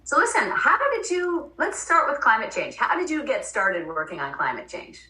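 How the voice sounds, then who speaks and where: A woman's voice: a little high, wildly swooping pitch, moderate pace, sharp tone. A woman hosting a podcast interview, speaking conversationally as she introduces and questions a guest. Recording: roomy sound, distant from mic.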